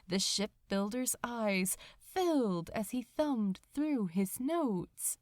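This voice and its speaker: Slow, expressive woman narrator in a dry room. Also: on the high side, no echo. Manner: slow, expressive, dramatic storytelling. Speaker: audiobook narrator. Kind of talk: scripted fiction narration.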